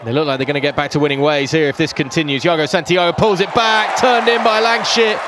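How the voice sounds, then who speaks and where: A man giving excited live football commentary as a match commentator. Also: on the high side, fast. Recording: noisy stadium with crowd behind. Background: crowd noise.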